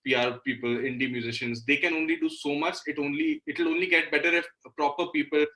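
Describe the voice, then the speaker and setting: A man's voice: fairly high pitch, steady tone, quick pace, pushed and bright, poor audio. A man from Delhi with an Indian accent, talking casually as an interview guest, earnest and repeating words for emphasis. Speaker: interview guest, musician and guitar teacher.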